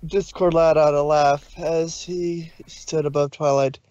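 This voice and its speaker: A man's voice: on the high side, flat delivery, a little slow, darkish tone, no room sound. A man narrating a fan story in a casual stream reading, with occasional slips. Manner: plain reading with slips and laughs. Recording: dull dry lo-fi stream audio.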